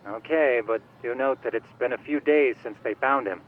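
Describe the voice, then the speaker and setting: A man's voice: mid-range voice, normal speed. An actor playing a polite, clever male informant, speaking articulately and a little formally through a phone filter. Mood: helpful, matter-of-fact. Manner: articulate, helpful, slightly formal. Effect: phone call filter. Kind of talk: video game dialogue. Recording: muffled phone call with background noise.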